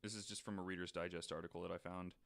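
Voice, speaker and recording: A man with a fairly low voice co-hosts a chat podcast. He reads brief items aloud and comments on them casually and wryly, swearing freely. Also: little pitch movement, very fast, gravelly, a bit bright. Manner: casual, profane, wry. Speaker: podcast co-host. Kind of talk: casual chat, reading out short funny news items.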